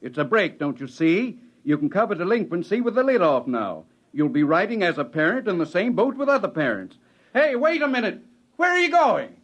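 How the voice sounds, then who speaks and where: An actor playing a hearty, coaxing, opportunistic newspaper publisher in a 1950s radio drama. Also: male voice, high voice, sing-song, a little fast, fairly bright tone, heavily acted. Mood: eager, coaxing. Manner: coaxing, enthusiastic. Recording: dull 1950s radio recording.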